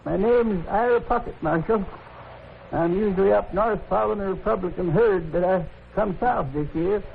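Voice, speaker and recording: Ornery old Western hunter, rustic American accent, cracked high voice, vintage radio drama, thin band with slight noise. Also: male voice, normal speed, gravelly. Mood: weary, worried. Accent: rustic American West. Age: older. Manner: folksy, ungrammatical, ornery. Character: cantankerous, suspicious old buffalo hunter, stranded and vengeful. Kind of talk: 1950s radio western acting. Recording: tinny, faintly noisy 1950s radio recording.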